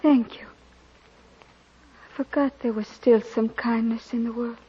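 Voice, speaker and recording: An actress playing a poor, gentle, downtrodden young woman in 1940s radio drama. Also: fairly high pitch, fairly even intonation, takes it slowly, husky. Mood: meek, grateful. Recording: muffled 1940s radio recording.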